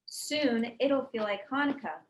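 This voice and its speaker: A woman voicing an eager, excitable young girl who is helpful but clumsy, from a children's storybook. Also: a little high, a little slow, slight background noise, pitched above the performer's own voice, lightly acted. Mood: hopeful. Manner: excitable, bright exclamations. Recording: echoey room.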